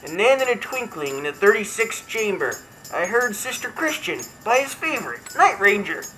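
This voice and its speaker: Someone doing a brash high-voiced martial arts pal character, reading silly verse all mock dramatic, jingly music behind. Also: big pitch swings, moderate pace, bright, forceful tone, rough recording. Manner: mock-dramatic storytelling, irreverent. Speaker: comedy show host character. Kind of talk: theatrical comic verse reading.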